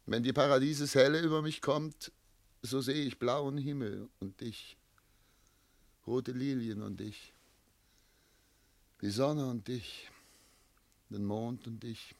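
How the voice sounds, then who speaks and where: A man's voice: mid-range voice, steady tone, slow pace, pauses often, dry recording. An actor playing a tormented, self-doubting man of seventy in love, speaking solemn literary German lines in a classic radio play. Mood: rapturous, agitated. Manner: solemn, literary, declamatory phrasing.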